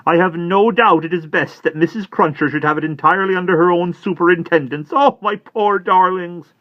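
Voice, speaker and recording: A man's voice: fairly quick, muffled recording, natural voice. A man doing a frantic, tearful spinster. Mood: distraught. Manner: high shrill fluttering voice, near tears. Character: frantic tearful middle-aged English spinster.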